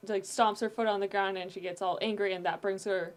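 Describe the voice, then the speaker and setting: A woman's voice: mid-range voice, steady tone, fairly quick, noisy background. A woman guest on a podcast, telling a story in casual chat, earnest and full of "like" fillers.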